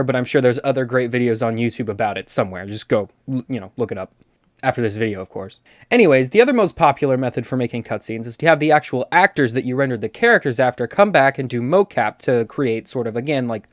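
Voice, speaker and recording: A man's voice: medium pitch, no echo, clean studio sound. A man hosting a video essay, delivering scripted commentary in a conversational way with wry asides. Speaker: host.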